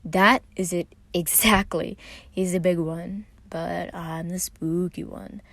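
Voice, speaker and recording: A woman's voice: on the low side, fairly even intonation, slow, fairly bright tone, dry recording, full character voice. Sardonic, gothic tall brother for a fiction podcast, played by a female reader: wry, exasperated, dry close sound. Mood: amused, wry. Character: tall, spooky, sardonic younger man, easily flustered brother.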